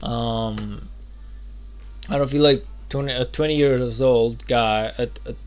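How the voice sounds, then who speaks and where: A man's voice: mid-range voice, fairly even intonation, slow, clear, resonant tone, faint music behind. A man in his twenties chatting loosely while streaming a game, rambling with lots of fillers and restarts. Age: 20s. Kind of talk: casual live-stream chat.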